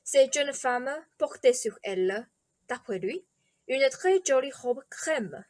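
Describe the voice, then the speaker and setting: A ceremonious, over-polite king from a fairy-tale radio play, voiced by a woman. The voice is on the high side and measured, with a dry, close sound. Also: expressive, darkish tone, dry recording. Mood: courteous, confiding. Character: courteous, eccentric, ceremonious old-fashioned king, a doting father. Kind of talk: theatrical radio play acting.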